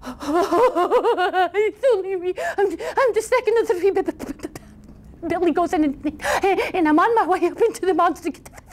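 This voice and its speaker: A woman's voice: extremely high pitch, moderate pace, voice pushed up. A woman voicing a nervous middle billy goat brother in a storybook tale, stuttering heavily as he pleads. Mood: nervous, pleading.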